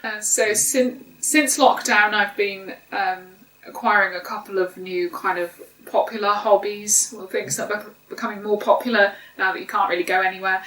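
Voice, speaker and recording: A woman's voice: mid-range voice, fairly even intonation, normal speed, few pauses, fairly bright tone, reverberant, distant mic, rough recording. Sniffly woman chatting on a sofa. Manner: hesitant, frequent ums. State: sniffly from hay fever. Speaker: co-host. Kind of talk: casual chat. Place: home living room sofa.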